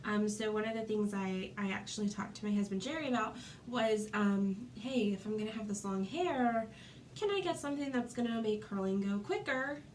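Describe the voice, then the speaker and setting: A woman's voice: medium pitch, moderate pace, a little hiss or hum. A woman with a stuffy cold, chatting casually through a hair tutorial at home and saying "um" a lot. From Florida. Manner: chatty, many ums, demonstrating while talking. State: congested with a cold.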